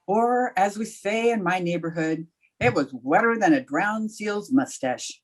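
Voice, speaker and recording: A sharp, wry female English teacher, played by an actress in an old-time radio comedy. Her delivery is highly animated, swinging up and down in pitch as she lands dry, sardonic quips. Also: unhurried, low-quality recording. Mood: wry narration, banter. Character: wry, quick-witted female high school English teacher.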